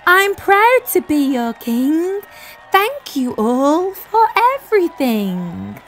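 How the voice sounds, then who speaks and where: A woman's voice: high voice, big pitch swings, slow pace, soft background music, voice pushed up, heavily acted. A woman voicing a cheerful, eager storybook king who exclaims in a friendly, grand way. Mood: proud, grateful.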